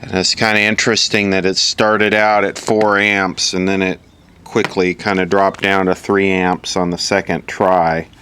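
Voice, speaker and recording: Man, deep voice, bright forceful tone, informal repair tutorial, matter-of-fact, thinking aloud. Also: level tone, unhurried, slight background noise. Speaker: electronics repair instructor.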